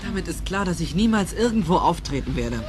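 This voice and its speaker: A man's voice: high-pitched, animated, brisk. A man playing an impulsive, insecure teenage schoolboy in a German radio play, with a youthful, exclaiming delivery. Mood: discouraged.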